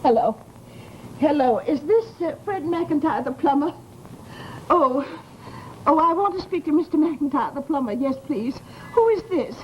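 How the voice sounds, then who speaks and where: High-pitched nosy American landlady. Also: expressive, measured pace, dull audio. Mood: anxious, urgent. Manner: garrulous, dramatic, scolding. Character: nosy, aggrieved, self-pitying widowed landlady. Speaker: radio drama actress. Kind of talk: 1940s American radio horror acting. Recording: noisy old-time radio recording.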